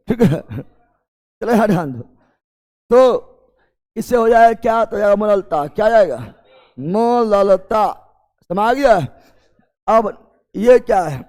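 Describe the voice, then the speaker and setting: A man's voice: high voice, a little mellow. A man with a Bihari accent teaching an exam coaching class, repeating key terms and putting check questions to his students.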